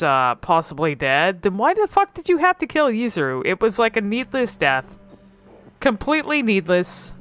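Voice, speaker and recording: A woman giving casual, unscripted game commentary, thinking aloud haltingly and swearing freely. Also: medium pitch, a bit bright.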